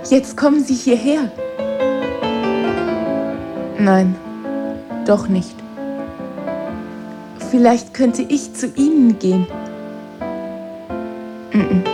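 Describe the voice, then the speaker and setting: A woman playing a lonely, pensive schoolgirl who tells herself stories in a radio play. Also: fairly high pitch. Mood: wistful, uneasy. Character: lonely, wistful schoolgirl telling herself fairy tales. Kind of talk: storytelling inner monologue in radio play. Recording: loud piano music behind.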